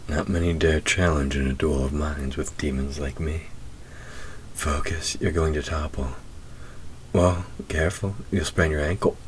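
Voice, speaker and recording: A man voicing a teasing, flirtatious winged demon in a roleplay audio drama, speaking intimately and often slipping into breathy whispers. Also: extremely low pitch, fairly even intonation, unhurried, a little hiss or hum. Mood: playful, taunting. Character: teasing, flirtatious male demon with wings, secretly grieving.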